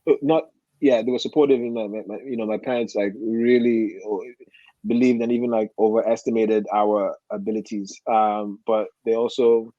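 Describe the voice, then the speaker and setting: A man, telling personal stories casually and haltingly as a guest, often rephrasing as he reflects. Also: on the low side, steady tone, mellow, no echo, lo-fi sound. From Nigeria. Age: 40s. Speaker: podcast guest.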